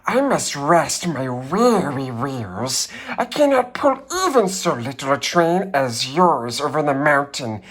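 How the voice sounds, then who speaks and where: Weary old storybook locomotive: croaky, drawn-out, self-pitying grumbler. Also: male voice, on the high side, big pitch swings, a bit bright, exaggerated voice. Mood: weary refusing. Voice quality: raspy, croaking. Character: weary, grumbling rusty old freight engine.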